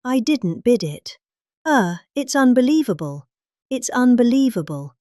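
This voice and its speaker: A middle-aged British woman giving a calm, expressive dramatic reading of a rambling speech. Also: fairly high pitch, no echo, clean studio sound. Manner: expressive, calmly measured delivery.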